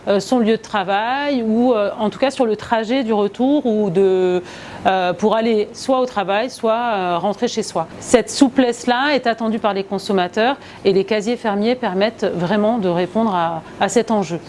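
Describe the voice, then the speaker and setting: A woman's voice: mid-range voice, moderate pace, darkish tone, slight background noise. A woman, speaking as a local project representative in an explanatory interview.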